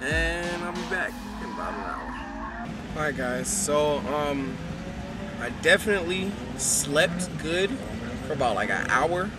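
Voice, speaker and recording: A young man talks into a handheld camera in a relaxed, chatty way, dropping plenty of ums. His voice sits a little high for a man. Loud beat music plays underneath him. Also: a little slow. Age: young adult. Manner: laid-back, chatty, frequent ums. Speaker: vlogger host. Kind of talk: casual vlog narration. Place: outdoor car event lot and parked car. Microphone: handheld camera held close.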